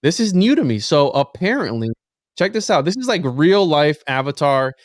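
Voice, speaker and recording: A man's voice: on the high side, sing-song, normal speed, dry recording, clean studio sound. A man hosting a podcast in casual talk, reading messages aloud and addressing listeners informally and directly. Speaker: podcast host.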